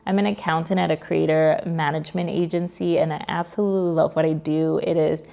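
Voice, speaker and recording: A woman's voice: low voice, fairly even intonation, fairly quick, vocal fry, warm tone. A woman, chatting casually to camera as a vlogger sharing personal updates. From New York. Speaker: vlogger, content creator.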